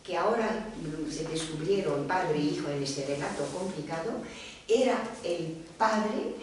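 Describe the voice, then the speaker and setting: Female panelist, low and meandering, distant reverberant room with faint noise. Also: lively intonation, a little slow, a little mellow. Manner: meandering, self-correcting retelling. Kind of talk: round-table talk. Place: lecture room. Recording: echoey room from far off.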